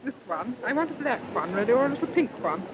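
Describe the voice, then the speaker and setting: A woman's voice: a little high, sing-song, fast talker. A woman, a shopper casually answering an interviewer's questions. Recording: muffled 1950s newsreel, noisy shop floor.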